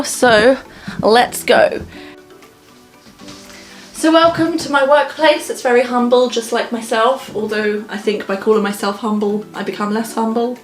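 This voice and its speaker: Young woman, vlogger: higher voice, chatty and self-mocking, talking straight to camera. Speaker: vlogger and online English teacher. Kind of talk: casual vlog talk to camera.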